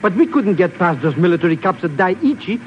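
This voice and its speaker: An actor playing a wheedling, slangy, ingratiating American small-time hustler in a 1950s radio drama. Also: male voice, high voice, very animated, a little fast. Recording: muffled, noisy old radio recording.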